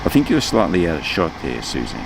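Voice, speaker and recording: A man's voice: sits low, wildly swooping pitch, fast talker. An older man with an Australian accent, a voice artist chatting in a casual interview, rambling and breaking off mid-sentence. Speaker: voice artist guest. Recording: noisy outdoor street ambience.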